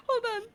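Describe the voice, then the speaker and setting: An American woman chatting casually and jokingly as a guest on a livestream, animated and giggly. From Pittsburgh, United States. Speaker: interview guest, voice actor and audio director. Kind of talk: casual group chat on a livestream. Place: remote online call for a livestream.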